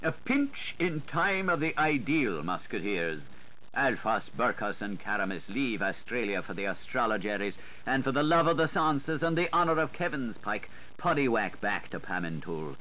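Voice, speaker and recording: Guy doing a theatrical audiobook read, playful and sing-song, pitch all over the place, bit of noise on an 80s recording. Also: very animated, normal speed, pushed and bright. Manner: playful, dramatic, sing-song delivery with shifting inflection. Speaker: audiobook narrator. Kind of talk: theatrical literary audiobook reading. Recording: noisy 1980s audiobook recording.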